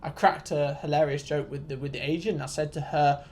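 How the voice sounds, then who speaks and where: Casting: vlogger in their twenties, medium-pitched voice, casual storyteller, rambling, self-deprecating, sweary. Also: steady tone, fairly quick, darkish tone, a little hiss or hum. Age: 20s. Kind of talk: casual storytelling rant to viewers.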